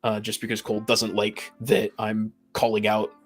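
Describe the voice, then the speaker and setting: A man's voice: on the low side, completely flat delivery, moderate pace, faint music behind. A man in a casual podcast discussion, speaking in hesitant, stop-start phrases. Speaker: podcast panelist. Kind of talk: casual chat.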